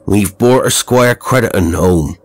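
A man voicing a rough, shabby eel-catcher from the marsh with blunt, rustic phrasing in a horror story. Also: on the low side, a little slow. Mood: solemn.

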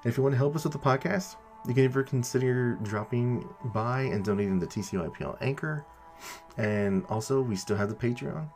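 A man's voice: medium pitch, fairly quick, darkish tone. A man hosting a podcast, giving an informal announcement to listeners in casual language with some mild cursing. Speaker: podcast creator and host. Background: background music.

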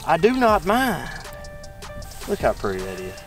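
A young man with a drawl, casually rambling about bass fishing from his boat on a lake. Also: huge pitch swings, measured pace, faint music behind. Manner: drawling, rambling, talks to the fish. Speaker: fishing channel host. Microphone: camera mounted on the boat.

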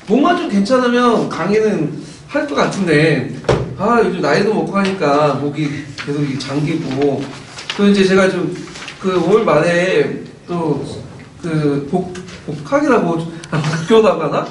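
A middle-aged man lecturing in a classroom in a chatty, animated way, his voice slightly hoarse. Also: high-pitched, distant mic, a little hiss or hum. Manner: animated, chatty, gestures while explaining. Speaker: lecturer for teacher-exam prep. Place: classroom with whiteboard. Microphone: far camera. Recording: roomy classroom from afar.

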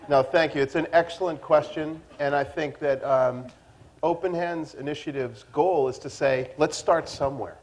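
A man's voice: fairly high pitch, normal speed, a little mellow. A middle-aged man answering a question on a panel through a lapel mic, in a conversational and assured way. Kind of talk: panel discussion.

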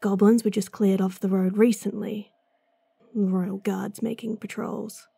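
A gruff swordswoman bounty hunter with a gravelly, husky voice, as a woman acts her out. She talks slowly and bluntly in a dark, low-lit tone, sardonic and short on patience. Recorded close and dry for a fantasy audio story. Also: flat delivery, dry recording. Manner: blunt, sardonic, sighing often. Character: gruff, impatient female bounty hunter swordswoman, young adult. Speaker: voice actress. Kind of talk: fantasy roleplay audio drama.

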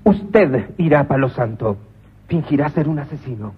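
An actor playing a stern, ruthless police commissioner in a radio comedy drama, with a husky voice that flips into manic shouting. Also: male voice, mid-range voice, expressive, moderate pace. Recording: muffled old radio broadcast.

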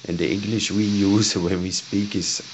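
A man from Sweden with a Swedish accent, casually commenting on a mobile game he is playing in halting, enthusiastic English full of fillers. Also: lowish voice, little pitch movement. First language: Swedish or Hungarian. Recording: loud background noise.

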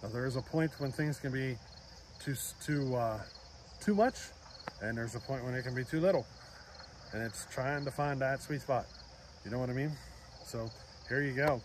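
A man's voice: medium pitch, moderate pace, pauses often, noisy background. A man in his forties hosting a chainsaw channel, explaining his results in a chatty way straight to a phone camera outdoors, often asking "you know what I mean?". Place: outdoors by trees, at a picnic table. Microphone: phone camera close up at arm's length.

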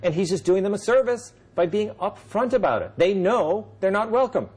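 A man's voice: high-pitched, animated, normal speed, a little mellow, breathy edge. A middle-aged man hosting a late-night panel show in a TV studio, reading a wry, sarcastic monologue and then leading the banter. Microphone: lapel mic.

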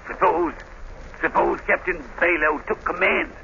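An actor playing a jittery, fearful, superstitious deckhand in 1930s radio drama style. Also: male voice, a little high, unhurried, creaky. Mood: nervous. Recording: dull, noisy 1930s radio recording.

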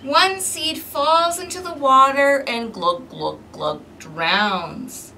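A woman reading a picture book aloud to young children in an expressive, interactive way, recorded outdoors on a balcony. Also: sits high, slow. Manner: expressive, clearly paced, questioning as if to young children. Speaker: storytime host for a community service. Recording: distant mic with outdoor background noise.

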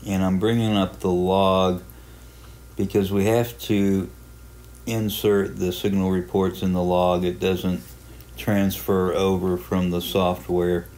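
A man giving a casual, unhurried tutorial narration, explaining a hobby software program step by step in plain terms. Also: deep voice, little pitch movement. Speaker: amateur radio hobbyist presenting a software walkthrough.

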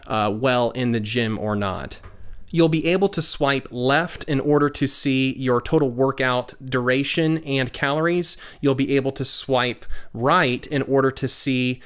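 A man in his thirties, a tech reviewer, giving a steady explanatory product review voice-over with frequent "uh" fillers, close to the mic. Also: medium pitch, clean tone.

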